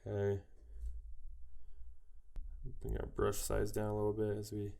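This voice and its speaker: A man's voice: fairly low pitch, measured pace, vocal fry. A man with a head cold, thinking aloud in a casual way as he teaches a digital painting tutorial. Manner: relaxed, musing aloud while working. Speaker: digital art instructor.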